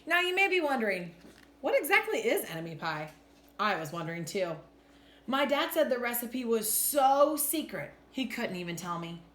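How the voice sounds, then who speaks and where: Expressive woman storyteller with lively pitch. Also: moderate pace. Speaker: teacher reading a picture book aloud. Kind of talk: children's storybook read-aloud. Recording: roomy echo with faint background noise.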